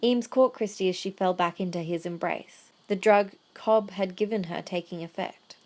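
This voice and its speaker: A woman narrates an audio drama in a medium-pitched voice. She reads scripted prose as the storyteller. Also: normal speed.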